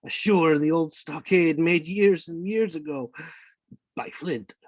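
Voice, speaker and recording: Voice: mid-range voice, a little slow, very noisy, natural voice. An actor playing a marooned, half-mad old pirate castaway in a radio play, rambling and repeating words in rustic sailor speech. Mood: confiding. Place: indoors, remote online reading. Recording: dry video-call recording.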